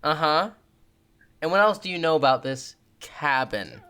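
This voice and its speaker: A woman's voice: low-pitched, sing-song, unhurried, sharp tone, smooth, full character voice. A woman playing a bossy, suspicious, protective older sister in a tabletop roleplay, sarcastic and challenging. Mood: stern, challenging.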